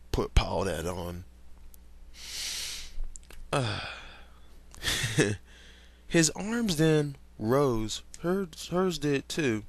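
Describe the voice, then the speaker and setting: A young man reading a story aloud at an unhurried, slow pace in a gravelly, creaky voice. He trips over words now and then and stops to react with comments and laughs, all in an easygoing, casual manner. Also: mid-range voice. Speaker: fan fiction reader.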